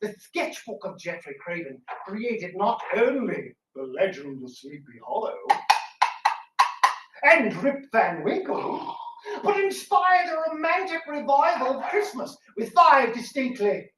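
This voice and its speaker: High, theatrical Victorian lady presenter, played by a woman. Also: big pitch swings, a little slow, heavy background noise. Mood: grand, instructive. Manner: declamatory, playful, emphatic. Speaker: stage host of a live radio-theatre show. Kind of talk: theatrical scripted presentation to a live audience. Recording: roomy live hall, distant mic.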